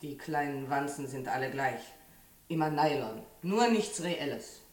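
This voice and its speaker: An actor playing a nosy, grumbling neighbour with a blunt, familiar manner in a 1960s German radio play. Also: male voice, on the high side, expressive, a little slow. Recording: echoey old radio recording, slightly distant.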